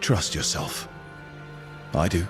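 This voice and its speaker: An actor playing a supportive, wry male hero in a fantasy video game, calm and lightly teasing. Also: slow pace, close to an everyday voice. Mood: reassuring. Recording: loud music behind the voice.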